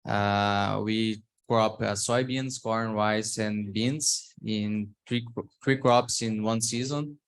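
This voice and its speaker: Slow, halting Brazilian-accented man. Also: on the low side, fairly even intonation, clean tone. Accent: Brazilian Portuguese. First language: Portuguese. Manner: halting, searching for words in a learned language. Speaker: farmer presenting. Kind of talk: slide presentation on a call.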